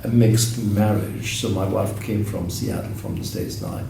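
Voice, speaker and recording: A man from Morocco speaking reflectively in a documentary interview, halting with many restarts. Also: on the low side, fairly even intonation, gravelly, dark tone. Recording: very echoey, distant mic, faint noise.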